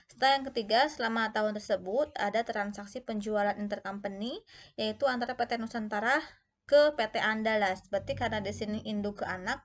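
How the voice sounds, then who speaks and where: A woman giving an explanatory tutorial as an instructor, going step by step and posing questions that she then answers herself. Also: medium pitch. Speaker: accounting instructor.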